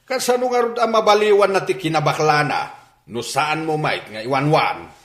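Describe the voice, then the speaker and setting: A man's voice: fairly high pitch, animated, normal speed, a bit bright. An actor playing a stern, angry, scornful father in a radio drama, scolding indignantly. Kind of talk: Filipino radio drama acting. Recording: echoey radio drama studio recording.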